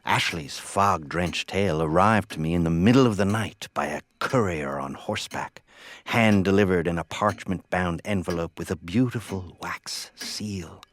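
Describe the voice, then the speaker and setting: A man hosting an audio horror anthology, delivering a theatrical scripted introduction in a deliberate, playfully ominous manner. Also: low voice. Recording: voice over prominent music.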